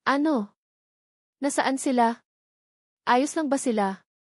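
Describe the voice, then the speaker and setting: A woman's voice: high-pitched, takes it slowly, a little mellow, no echo, pitched above the performer's own voice. A desperate Filipino father in a storybook drama acted out by a woman. He pleads urgently and emotionally, anxious for his children. The sound is dry and free of room.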